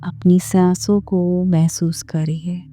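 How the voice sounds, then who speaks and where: A woman leading a guided meditation in a gentle, measured, soothing manner, with pauses between phrases. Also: on the low side, flat delivery. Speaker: meditation guide and series host. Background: background music.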